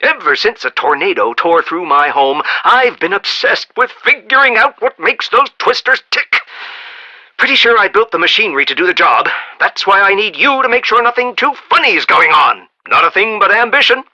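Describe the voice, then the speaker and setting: A proud, eccentric American inventor tycoon for a video game. The voice is high for a man and very sharp and forceful, swinging widely in pitch. He rambles in a folksy, emphatic, blustery way. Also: sing-song, normal speed, muffled recording, full character voice. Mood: folksy, confiding. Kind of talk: animated video game voice acting.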